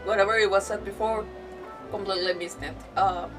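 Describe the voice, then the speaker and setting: A woman streaming a game and chatting casually, rambling and halting as she repeats her words. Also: medium pitch, fairly even intonation. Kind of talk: casual chat, reacting to a game. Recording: distant mic, game music behind. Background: game music.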